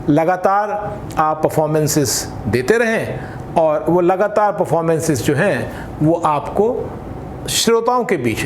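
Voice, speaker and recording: An Indian man giving instructional talk as a voice trainer, speaking in an emphatic, deliberate way and mixing Hindi with some English. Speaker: voice trainer for radio jockeys. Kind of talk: instructional talk with spoken demonstrations. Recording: echoey room with faint rumbling noise.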